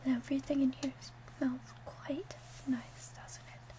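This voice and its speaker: A woman softly half-whispering in an ASMR roleplay as a calm, helpful witch, with a gentle, deliberate delivery. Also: high voice. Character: calm, helpful female witch selling love potions.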